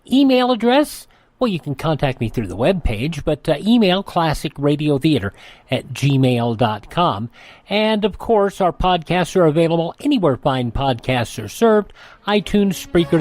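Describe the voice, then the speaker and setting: A man hosting a classic radio show, making announcements in a relaxed, conversational way. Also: on the high side, no room sound. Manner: relaxed and conversational, with light fillers. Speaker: host.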